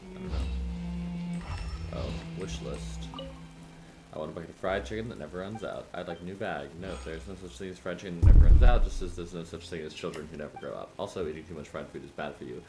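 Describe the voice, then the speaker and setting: Low-voiced man streaming over loud music. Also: expressive, a little fast, poor audio. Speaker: game streamer. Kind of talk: casual live gameplay commentary.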